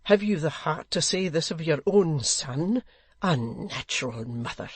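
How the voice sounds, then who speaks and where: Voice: medium pitch, expressive, unhurried, husky, no echo. A reader voicing a zealous, self-righteous nobleman who speaks in a formal, earnest, declamatory way. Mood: righteous reproach. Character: zealous, self-righteous English lord, solemnly easily offended.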